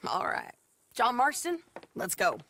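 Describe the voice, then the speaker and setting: An actress playing a hardened frontier bounty hunter who speaks in a blunt, matter-of-fact, plain-spoken way in a video game cutscene. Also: female voice, a little high, sing-song, measured pace, sharp tone, full character voice.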